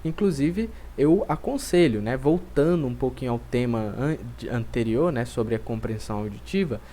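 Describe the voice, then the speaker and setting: A man with a Brazilian Portuguese accent teaching an online language lesson, coaxing and lively, often adding tag questions. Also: medium pitch, normal speed.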